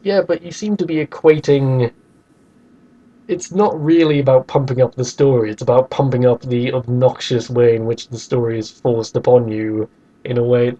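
Guy on a gaming podcast, mellow tone, bit lo-fi, chatting with strong opinions. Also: medium pitch, steady tone, normal speed. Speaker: podcast host. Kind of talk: opinionated casual discussion of video games.

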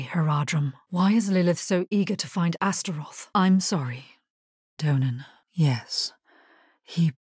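An actress voicing a grave, guarded female necromancer in a video game, steely and resolute, with measured, hushed delivery and a husky, breathy voice. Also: on the low side, dry recording, clean studio sound. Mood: somber. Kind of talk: dramatic video game character lines.

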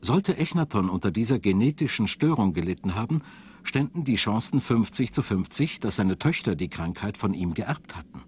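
A man narrating a scripted documentary in a measured, solemn storytelling manner. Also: fairly low pitch, sing-song, darkish tone, a little hiss or hum. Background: background music.

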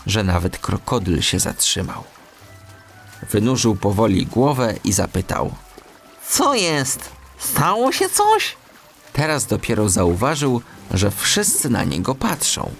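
A man narrating a children's audiobook in an expressive storytelling style. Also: on the low side, a little slow, vocal fry, soft background music.

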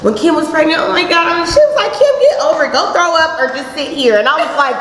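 A woman's voice: very high voice, fast talker, heavy reverb, in a large hall. A woman from California chatting on a panel in a large studio, acting out quotes as she tells stories. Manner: animated storyteller, acts out quotes. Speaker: panel guest. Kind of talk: casual panel chat. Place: large studio room, round table.

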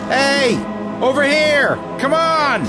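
A man's voice: very high voice, animated, slow pace, over music, heavily acted. A man playing a brave, earnest young soldier in a war audio drama. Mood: brave urgent. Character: brave young army private, earnest, self-sacrificing.

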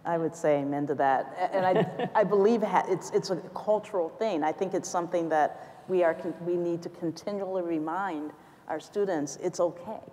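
Earnest middle-aged woman panelist; lowish voice, echoing hall. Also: normal speed, mellow. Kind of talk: panel discussion. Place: stage in a large auditorium. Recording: reverberant auditorium sound.

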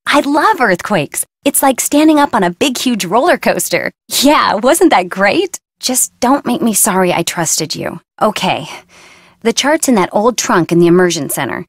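A woman's voice: mid-range voice, sing-song, normal speed, dry recording, clean studio sound. A woman playing a young, blunt, stubborn surfer girl in a video game, talking in casual, flippant slang. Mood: carefree, excited.

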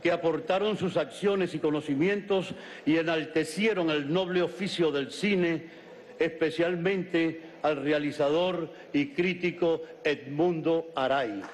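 An older Venezuelan man stands at the podium microphones and reads a formal resolution in a measured, declamatory cadence. His voice sits a little high, and the long reverb of a big chamber carries behind it. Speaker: legislator. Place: large legislative chamber, at a podium. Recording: long reverb of a large hall.

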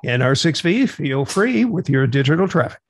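A man's voice: on the high side, very animated, normal speed, no room sound, studio quality. A middle-aged man hosting an instructional radio net in an orderly, procedural way over a video call. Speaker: net control operator and host. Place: small home room, on a video call.

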